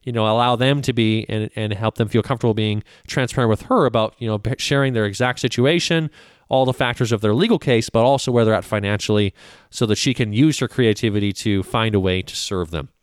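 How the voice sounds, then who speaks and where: A man's voice: fairly low pitch, fairly quick, clean tone, dry recording, clean studio sound. Canadian-born man hosting a podcast. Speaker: podcast host. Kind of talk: hosting a professional podcast interview.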